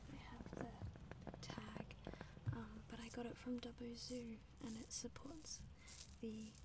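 A woman's voice: a little high, little pitch movement, takes it slowly, distant mic, background noise. A young woman whispering a rambling, reassuring ASMR chat while sitting in her parked car. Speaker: ASMR creator. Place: parked car, rain outside.

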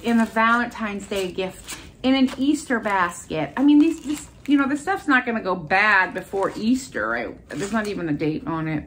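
High-voiced, chatty American woman vlogger. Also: a bit bright, slight background noise. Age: middle-aged. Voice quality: congested, stuffy. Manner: chatty, enthusiastic. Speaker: haul video host. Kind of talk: casual chat showing shopping finds. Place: furnished room at home, indoors.

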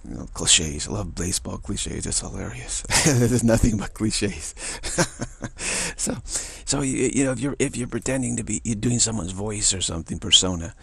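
Deep gravelly-voiced man rambling. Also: lively intonation, normal speed, fairly bright tone, no echo. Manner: rambling, repeats words, chuckles often. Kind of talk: spiritual monologue.

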